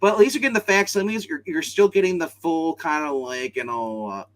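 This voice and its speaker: A man's voice: on the high side, brisk. A man chatting casually as co-host of a show. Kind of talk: casual chat.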